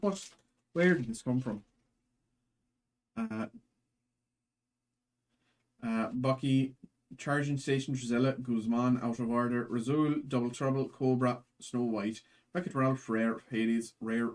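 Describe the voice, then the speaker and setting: A man's voice: mid-range voice, slow, long pauses, darkish tone. A man hosting a live card break in a put-on Irish accent, rattling off card names from each pack.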